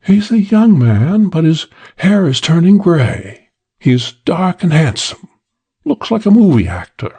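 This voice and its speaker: Casting: male reader as young female switchboard operator, hardboiled audiobook, raised pitch, sassy, slangy, put-upon and sighing. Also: big pitch swings, measured pace, dark tone, breathy edge, heavily acted. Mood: obliging. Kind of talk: audiobook character voice for hardboiled detective story.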